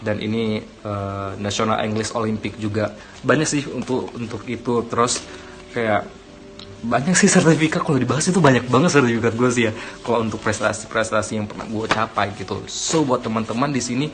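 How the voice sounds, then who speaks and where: A man's voice: lowish voice, a little fast, fairly bright tone, soft background music. A young man from Aceh talking casually to his viewers, rambling with many fillers and self-corrections, giving encouragement. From Aceh, Indonesia. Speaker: vlogger. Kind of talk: casual talk to camera.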